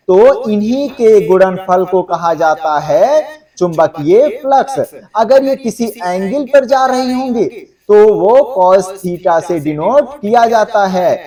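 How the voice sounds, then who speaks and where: ऊँची आवाज़ वाला पुरुष शिक्षक, माइक से दूर. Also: male voice, high voice, warm tone, distant mic. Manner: conversational, repeats check phrases to students. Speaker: exam-coaching geography teacher. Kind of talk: explanatory lecture to students.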